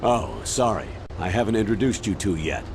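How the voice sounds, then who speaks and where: An actor playing an older colonel in a video game, briefing with measured, commanding authority. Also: male voice, fairly low pitch, expressive, noisy background. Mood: matter-of-fact. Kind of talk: dramatic video game voice acting.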